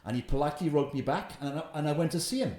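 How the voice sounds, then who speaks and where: A man in his fifties with a Welsh accent, telling his story in an interview with animated, emphatic delivery. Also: fairly high pitch, very fast, roomy sound. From Wales. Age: 50s. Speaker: investment guest. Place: room in his house.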